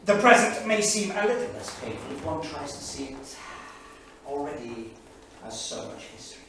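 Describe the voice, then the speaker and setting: An actor playing an erudite, anxious Cambridge don reading his 1938 journal in a literary, deliberate, wry theatrical style. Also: on the high side, big pitch swings. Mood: grave, hopeful. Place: small studio theatre, live staged reading. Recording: very echoey theatre, distant mic, faint noise.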